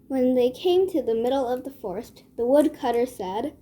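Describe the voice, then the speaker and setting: Woman, high soft-toned voice, measured children's storybook narration, noisy background. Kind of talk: children's read-aloud narration.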